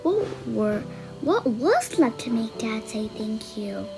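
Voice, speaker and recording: A woman reading a children's picture book aloud in a gentle, expressive storytelling voice. She sits high and swings widely in pitch at an unhurried pace, with soft music faint behind her. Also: creaky. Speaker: storybook reader.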